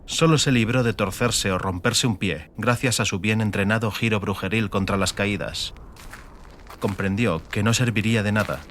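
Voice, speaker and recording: Male audiobook narrator, low pitch, dramatic fantasy storytelling, faint rumbling noise behind. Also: moderate pace, a bit bright.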